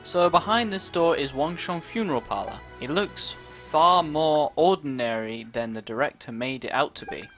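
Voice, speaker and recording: A woman game streamer giving casual, expressive, giggly let's play commentary. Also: low voice, moderate pace, hardly any pauses, soft background music.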